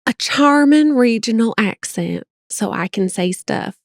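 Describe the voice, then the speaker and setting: A middle-aged woman with a General American accent co-hosts a podcast. She talks in a chatty, expressive, self-deprecating way. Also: a little high, a little slow, dry recording, clean studio sound. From United States. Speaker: podcast co-host. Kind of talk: casual chat.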